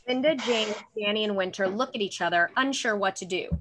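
Young woman reading stage directions on a video call, bright clear-cut voice. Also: mid-range voice, a little fast, slight background noise. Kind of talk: scripted narration. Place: room at home.

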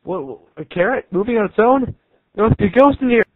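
A man's voice: sits high, animated, quick pace, dry recording. Man playing a naive, melodramatic patient, tinny sound. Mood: startled. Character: naive, excitable male patient, easily amazed and confused. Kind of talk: amateur comedy skit acting. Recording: tinny, band-limited, dry sound.

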